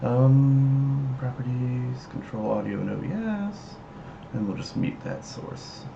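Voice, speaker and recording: A man hosting a livestream, explaining things casually in a halting, hesitant way. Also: mid-range voice, gravelly. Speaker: livestream host. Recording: roomy echo, dull mic, noisy background.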